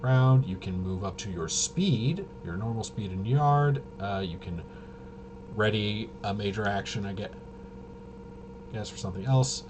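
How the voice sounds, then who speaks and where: A man casually rambling through tabletop game rules, haltingly, often trailing off and correcting himself. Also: lowish voice. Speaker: host. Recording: clear steady background noise.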